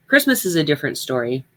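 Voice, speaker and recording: A woman's voice: deep voice, fairly bright tone. An older woman giving a reflective personal talk, speaking candidly and conversationally with emphasis on her points.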